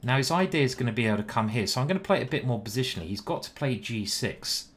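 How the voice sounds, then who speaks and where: A man's voice: medium pitch, lively intonation, racing. A young British man streaming live, chatting casually and thinking aloud about his chess moves.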